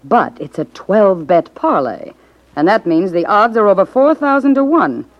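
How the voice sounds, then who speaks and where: A woman's voice: mid-range voice, lively intonation, moderate pace, lightly acted. An American actress playing a shrewd, cocksure, wisecracking gangland woman in a 1950s radio crime drama. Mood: cocky, scheming. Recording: dull 1950s radio recording.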